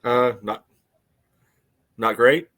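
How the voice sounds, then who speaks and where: A man co-hosting a soccer podcast, chatting casually in a dry, wry and somewhat halting way. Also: medium pitch, a bit bright. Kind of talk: casual sports chat.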